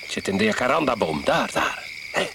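Very low and animated, this chatty expatriate man holds forth insistently, prodding his listener with little questioning tags. It is naturalistic radio drama, set against very loud crickets, frogs and other creatures. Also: brisk, creaky. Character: chatty, domineering male expatriate host on a tropical estate. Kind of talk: naturalistic radio play acting. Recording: radio play with loud insect noise. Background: loud crickets, frogs and animal sounds.

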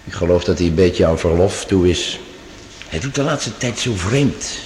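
A man's voice: low-pitched, lively intonation, moderate pace, darkish tone. A male actor in a radio play as an affable curator on a Mars base, talking casually with a gossipy, hesitant manner. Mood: gossipy, puzzled. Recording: very echoey cave-like hall, faint noise.

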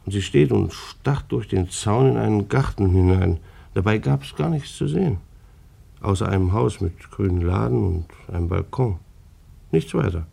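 Indignant, gravelly German bailiff. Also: male voice, lowish voice, expressive, warm tone, exaggerated voice. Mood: earnest recounting. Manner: halting, easily affronted. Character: indignant, dutiful male German bailiff, defensive civil servant. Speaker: bailiff being questioned. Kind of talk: German radio play acting.

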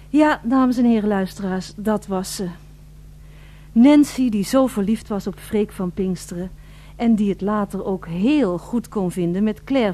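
An actress performs a melodramatic female storyteller in a radio serial. She speaks to the listeners in florid, mock-solemn fashion. Her voice sits at a middle pitch with a dark, mellow tone. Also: natural voice. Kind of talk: scripted radio play narration.

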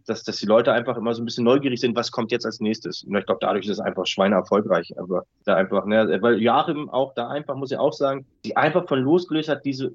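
A man's voice: mid-range voice, slightly rough, dry recording. A middle-aged man answering interview questions casually as an author, rambling and repeating his words. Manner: rambling, repeats words, often tags sentences with "ne". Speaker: interviewed audio drama author. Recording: muffled lo-fi audio.

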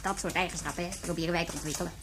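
An evasive, distracted cult member, acted by a woman, explaining things haltingly in a radio play. The voice is low for a woman, with clear noise and clicking behind it. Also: steady tone, close to an everyday voice. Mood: earnest lecturing. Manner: hesitant, distracted lecturing. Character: evasive cult member breeding an improved human race. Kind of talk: radio drama acting.

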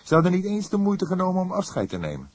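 Man voicing an excited, high-pitched anxious young man. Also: quick pace, slightly rough, no room sound, exaggerated voice. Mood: earnest. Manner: breathless, insistent, earnest.

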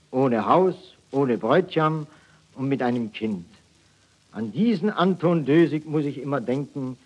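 A man's voice: mid-range voice, slightly rough, muffled recording. An actor playing a hapless, naive army private telling his woes in a folksy way on an archival 1940s satirical radio broadcast. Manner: folksy, mock-naive storytelling.

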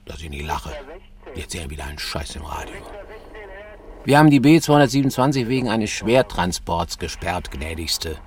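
A grumbling, sarcastic cop in a radio play. He mutters to himself in a low voice that lurches up and down, with clear noise and clicking behind him. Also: sing-song, moderate pace. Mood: disgruntled, sarcastic. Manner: muttering, sardonic. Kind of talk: radio drama monologue.

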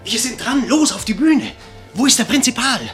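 An actor playing a harried, bossy theatre stage manager in a German radio drama, urgent and commanding. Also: male voice, very high-pitched, expressive, moderate pace, a bit bright, faint music behind.

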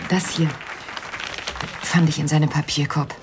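An actress playing an elegant, worried wife in a radio crime drama, composed and quietly pleading in a measured, refined manner. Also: female voice, low-pitched, steady tone, a crowd in the background, background noise. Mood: quiet, determined.

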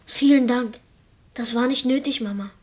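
A woman's voice: on the high side, normal speed, darkish tone, close to an everyday voice. A female-sounding performer playing a cheeky schoolboy and bossy older brother in a radio play, offhand and cocky. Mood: subdued.

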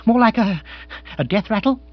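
A man's voice: high voice, lively intonation, normal speed, dull audio, faint music behind, pitched above the performer's own voice. A man voicing an agitated, petulant young English lord in a comic storybook, flustered and exclamatory in upper-class slang. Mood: agitated, panicky.

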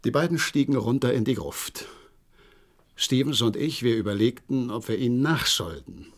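A man's voice: mid-range voice, normal speed, lightly acted. A man acting in a German radio play as an agitated horse trainer, eager and deferential as he gives a dramatic account. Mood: earnest. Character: agitated horse trainer reporting a mystery, deferential male servant.

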